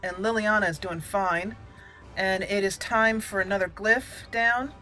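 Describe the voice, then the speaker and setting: A woman's voice: mid-range voice, fairly even intonation, a little slow, pushed and bright, soft background music, rough recording. A woman giving casual let's play commentary as a channel host, thinking aloud and repeating words as she goes.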